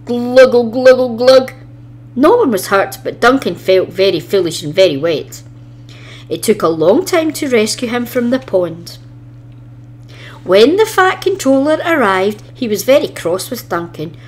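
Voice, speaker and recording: A woman's voice: medium pitch, big pitch swings, unhurried. A woman narrating a children's story expressively, reading from a script as a storybook reader.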